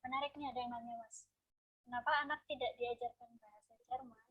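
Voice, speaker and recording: A woman host relays viewer questions to her guest in a brisk, friendly manner. Her voice is high and a little breathy. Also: fairly even intonation, narrow, tinny audio. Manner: brisk and friendly, addressing the guest as Mas or Kak. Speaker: talk show host reading viewer questions. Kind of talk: reading out questions in a talk show.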